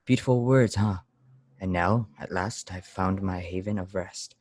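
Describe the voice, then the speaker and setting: A man playing a strange, pious and weary lodger in a radio play, solemn, deliberate and brusque. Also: sits low, darkish tone, no room sound. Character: strange, weary, severe pious lodger, brooding and easily angered. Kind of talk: old-time radio play acting.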